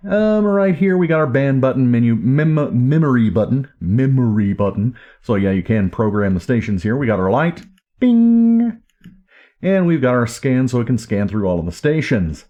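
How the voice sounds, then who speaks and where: A man with a mid-pitched voice walks through a product in a casual hands-on review. His delivery is informal and off the cuff, like someone showing a gadget to viewers. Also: lively intonation, normal speed, hardly any pauses, muffled recording. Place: indoors at home. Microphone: camera or recorder microphone.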